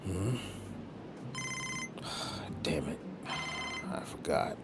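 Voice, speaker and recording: A man's voice: very high-pitched, huge pitch swings, extremely slow, very noisy, exaggerated voice. A man playing a weary, long-suffering but good-natured agent in an audio drama, with a dry, understated manner.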